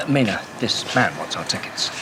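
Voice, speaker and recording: A man's voice: on the low side, lively intonation, moderate pace, background noise, close to an everyday voice. A man playing an anxious, gallant Victorian husband in a horror audio drama, formal in phrasing and easily flustered. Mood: nervous, flustered.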